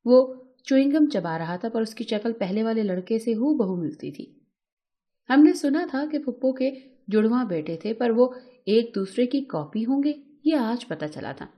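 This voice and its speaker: A woman narrating a scripted first-person emotional story in a measured, dramatic storytelling manner. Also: medium pitch, warm tone.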